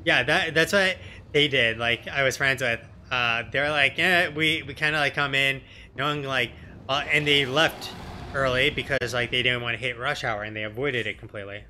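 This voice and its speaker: A man's voice: mid-range voice, moderate pace, bright, forceful tone, a little hiss or hum. A man in his thirties giving casual, sweary live game commentary as a streamer in a room at home. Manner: sweary, colloquial. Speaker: streamer, let's player.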